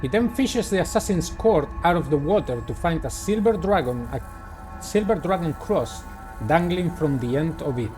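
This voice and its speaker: Higher-voiced theatrical man over music. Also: expressive, moderate pace, a little mellow. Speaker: host of a gaming channel, narrator. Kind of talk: playthrough commentary and read-aloud visual novel narration.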